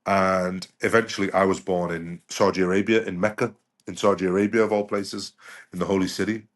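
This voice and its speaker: Man, middle-aged, raised in the UK, lowish voice, reflective, lots of "you know", podcast guest. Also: steady tone, brisk. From United Kingdom. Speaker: guest doctor. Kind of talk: conversational podcast interview.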